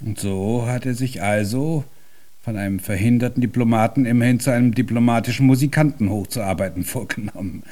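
An actor in a German radio drama playing an irascible, haughty, hard-of-hearing old composer with a husky voice and pompous, ornate speech. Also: male voice, mid-range voice, brisk, dark tone, natural voice. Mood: mocking.

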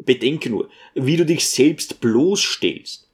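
A man voicing a stern, impatient, pious provincial priest in an audiobook, with a gruff, scolding manner. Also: medium pitch, expressive, slow pace.

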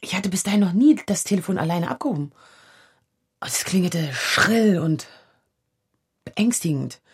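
A low, strongly husky female voice for a radio drama. She is a woman in her thirties, vulnerable and searching, speaking in a natural, breathy way. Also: animated, normal speed. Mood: haunted, remembering. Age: 30s. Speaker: lead actor.

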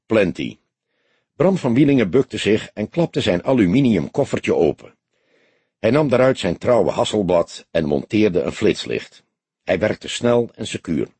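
A man's voice: lowish voice, big pitch swings, a little slow, a little mellow, slightly rough, no echo. A man narrating a scripted crime story as an audiobook reader.

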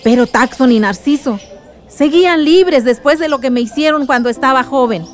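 An actress playing a warm, reflective aunt who recalls her village past in a nostalgic radio drama. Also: high voice, normal speed, close to an everyday voice. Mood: sober, reflective. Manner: nostalgic, storytelling.

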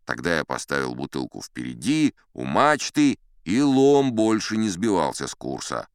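Old sea captain, audiobook storytelling, boastful, dramatic, deep, very dry close sound. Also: male voice, big pitch swings, normal speed, smooth, no echo. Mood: pleased, confiding. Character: old sea captain telling tall tales, boastful, unflappable.

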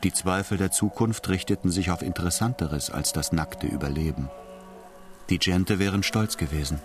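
Deep male radio drama narrator. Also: moderate pace. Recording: music behind the voice.

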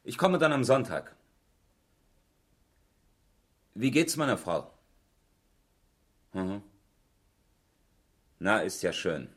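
A man's voice: fairly low pitch, very animated, slow, breathy edge, exaggerated voice. A man acting an indignant, evasive engineer under police questioning in a 1970s East German radio crime drama, defensive in manner. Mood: polite, subdued. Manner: protesting, defensive. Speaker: actor.

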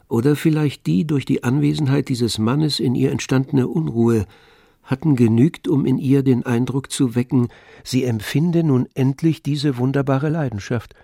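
A man narrating a literary radio play in a measured, composed manner. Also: medium pitch, vocal fry, warm tone, no echo, clean studio sound.

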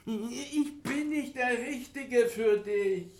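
A fretful, scheming dwarf smith from a fantasy radio drama. His voice sits extremely high and he complains in a slow, agitated way. The sound is roomy, with faint music underneath. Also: darkish tone, full character voice. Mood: evasive. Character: fretful, scheming dwarf smith, foster father of a young hero. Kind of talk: fantasy radio play acting.

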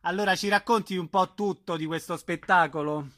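A man's voice: high voice, fairly even intonation, a little fast, fairly bright tone, dry recording, studio quality. A man working as a radio interviewer, introducing and questioning his guest.

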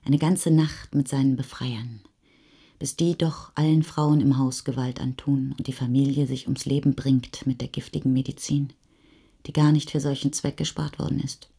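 A woman with a low, mellow voice reads scripted literary prose for radio. The tone has a husky grain. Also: steady tone, normal speed. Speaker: narrator reading literary prose.

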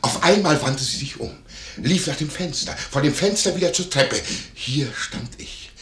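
An actor playing a nosy, greedy innkeeper in a classic stage comedy radio play, with an obsequious, gossipy, theatrical manner. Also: male voice, fairly high pitch, expressive, moderate pace, a bit bright, roomy sound. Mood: excited, gossipy.

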